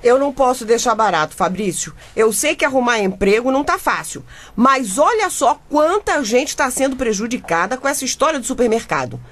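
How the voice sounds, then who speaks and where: A crusading Brazilian reporter and mother in a radio drama. She is indignant and emphatic, speaking in a fairly high voice with dramatic delivery. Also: big pitch swings, a little fast, hardly any pauses. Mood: determined. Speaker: actor. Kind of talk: comedic radio drama acting.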